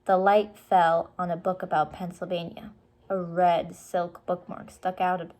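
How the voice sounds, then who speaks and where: Slow-paced woman reading a storybook. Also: lowish voice, level tone, a little mellow. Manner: explains words simply for young listeners. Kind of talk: children's storybook read-aloud.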